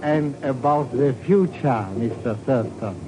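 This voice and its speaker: An actor playing a courteous, grave Indonesian government geologist who speaks formally and ominously in a 1950s radio drama. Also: male voice, medium pitch, measured pace, lightly acted. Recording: noisy 1950s radio recording.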